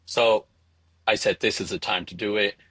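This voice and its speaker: A middle-aged man from Venezuela answering interview questions conversationally, in a halting way, repeating words as he thinks. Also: lowish voice, steady tone, bright, forceful tone, dry recording. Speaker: interview guest, spirits entrepreneur.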